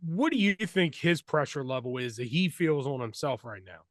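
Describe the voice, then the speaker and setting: A man's voice: medium pitch, expressive, normal speed, no echo, studio quality. A man hosting a sports show, putting interview questions in a casual, conversational way.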